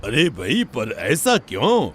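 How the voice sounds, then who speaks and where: A man's voice: medium pitch, huge pitch swings, takes it slowly, breathy edge, heavily acted. A man playing an affectionate village father in a cartoon story, indulgent, teasing and reassuring. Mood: puzzled. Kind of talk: animated horror story acting.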